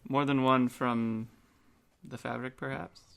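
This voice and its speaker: A woman with a very deep voice and heavy vocal fry talks casually as a player in a tabletop game. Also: steady tone, a little slow, a bit bright.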